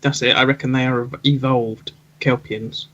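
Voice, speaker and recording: A man's voice: medium pitch, steady tone, moderate pace, fairly bright tone, lo-fi sound. A man talking casually as a podcast panelist, sometimes groping for words. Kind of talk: casual chat.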